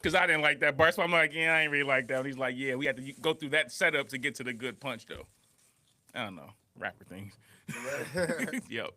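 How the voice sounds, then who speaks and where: A man's voice: medium pitch, a little fast. A man hosting a casual livestream reaction chat, joking and laughing as he talks. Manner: animated, joking, laughs often. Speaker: reaction show host.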